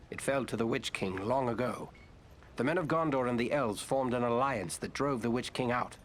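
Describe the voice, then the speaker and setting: An actor playing a grave, learned lore-keeper in a fantasy video game, solemn and formal in measured phrases. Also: male voice, medium pitch, a little hiss or hum, lightly acted. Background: music.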